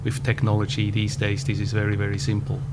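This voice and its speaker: A man of about fifty with a Swiss German accent, answering interview questions in a measured way with frequent pauses. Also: lowish voice, completely flat delivery. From Switzerland. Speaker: podcast guest, business owner. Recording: background hum.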